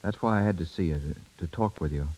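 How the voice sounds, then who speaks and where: An actor playing an eager, bashful young suitor in a 1930s radio drama, boyish and slangy, with halting speech. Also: male voice, low voice, a little mellow, husky. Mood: hesitant, tender. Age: young adult. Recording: muffled 1930s radio broadcast with music.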